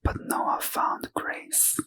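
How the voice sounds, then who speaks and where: A man reading scripture aloud in a soft, unvoiced ASMR whisper as a bedtime story. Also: very slow.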